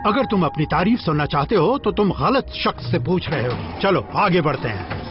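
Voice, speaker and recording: A man voicing a stern, exasperated travelling companion in an animated film dub, dry and scolding. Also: on the high side, normal speed. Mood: dry, practical. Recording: muffled dub over loud music.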